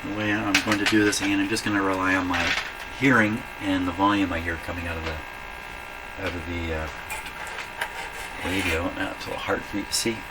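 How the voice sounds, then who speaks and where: Deep voice guy tinkering and thinking out loud, halting, informal repair demo, really noisy humming workshop. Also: level tone. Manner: thinking aloud while working, halting. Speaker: radio repair hobbyist demonstrating. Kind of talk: informal hands-on repair demonstration. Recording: loud mains hum and workshop noise.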